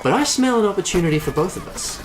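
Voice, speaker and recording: Man playing a cocky, very animated smuggler pilot. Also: sits high, quick pace. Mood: confident pitch. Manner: glib, self-assured. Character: cocky, wisecracking male smuggler pilot hero. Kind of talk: audio drama acting.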